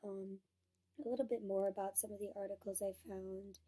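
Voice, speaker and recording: A young woman, hoarse because she is sick, talks through a student presentation on her research topic. Her voice is mellow and dark, and she speaks earnestly, with fillers and restarts. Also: mid-range voice, flat delivery, fairly quick. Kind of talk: class presentation on a research paper.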